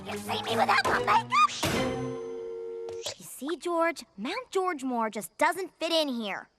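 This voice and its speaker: Nervy self-conscious critter, very high, jumps all over, cartoon music behind. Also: female voice, very animated, unhurried. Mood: anxious, complaining. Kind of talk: exaggerated kids' cartoon acting.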